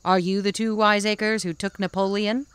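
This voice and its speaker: A woman's voice: medium pitch, level tone, moderate pace, fairly bright tone, smooth, no echo, close to an everyday voice. A woman playing a composed, polite, dryly suspicious lady in a comedic audio drama. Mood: dry, questioning.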